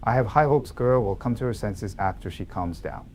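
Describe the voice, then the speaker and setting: A man's voice: lowish voice, lively intonation, brisk, a little mellow, slight background noise, close to an everyday voice. A man playing a stern, curt, calculating male company executive in dramatic dialogue. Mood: calculating, firm.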